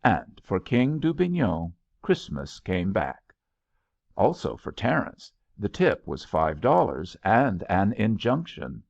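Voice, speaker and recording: A man reading literary fiction aloud as an audiobook narrator, in measured, expressive storytelling phrasing. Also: fairly low pitch, vocal fry, no echo, dull audio. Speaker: audiobook reader.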